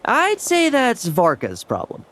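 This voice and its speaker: An actor playing a nonchalant, teasing young adventurer in a video game, with a sardonic, casual delivery. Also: male voice, extremely high pitch, huge pitch swings, unhurried, a bit bright, slight background noise, exaggerated voice. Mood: amused. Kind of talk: video game character acting. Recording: game music behind voice.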